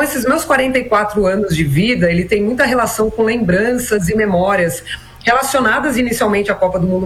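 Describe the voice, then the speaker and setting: A woman in her forties from Brazil, a former national team player answering interview questions in a measured, reflective way with many small fillers. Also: medium pitch, a little hiss or hum, poor audio. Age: 40s. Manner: measured, frequent "né" and "então" fillers.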